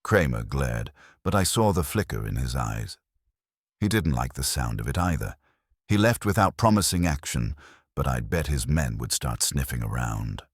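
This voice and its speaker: A man narrates a detective story in a very deep, husky voice. His delivery is measured and dramatic, with a lot of rise and fall in a dry, close recording. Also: expressive, no echo. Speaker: audiobook narrator telling the story as a detective's assistant. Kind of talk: first-person scripted detective story narration.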